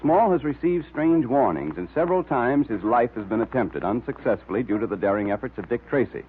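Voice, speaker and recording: A man's voice: medium pitch, expressive, brisk, slight background noise. A male radio announcer in 1940s style, delivering scripted adverts and story narration with emphatic salesmanship. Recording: tinny vintage radio recording.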